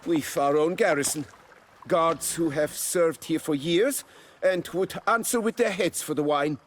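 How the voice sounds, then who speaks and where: An actor playing an anxious, deferential nobleman winemaker in a video game, proud yet servile, with a formal and halting delivery. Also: fairly high pitch. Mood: proud, measured.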